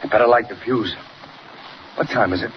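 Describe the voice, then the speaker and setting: A man's voice: medium pitch, a little fast, natural voice. An actor playing a villain's callous, businesslike male henchman in a 1940s radio serial. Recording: muffled 1940s radio recording, faint noise.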